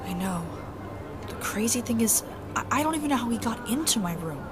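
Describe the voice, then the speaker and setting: A woman's voice: on the high side, animated, brisk, natural voice. Woman playing a hesitant refugee, music behind. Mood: shaken. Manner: hesitant, polite. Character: shaken, polite human refugee woman. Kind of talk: naturalistic audio drama acting.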